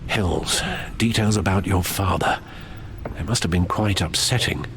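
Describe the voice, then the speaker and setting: An actor playing a loyal older butler in a video game, formal, polite and solicitous. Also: male voice, low voice, measured pace, a bit bright, slight background noise. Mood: concerned. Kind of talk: dramatic video game acting.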